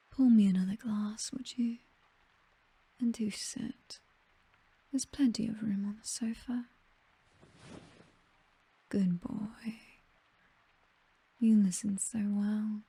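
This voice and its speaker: A woman's voice: medium pitch, gravelly, slightly rough, dry recording, exaggerated voice. A British woman playing a possessive, teasing vampire mistress in an intimate ASMR roleplay, whispering breathily. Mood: teasing, commanding. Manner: slow, teasing, softly commanding.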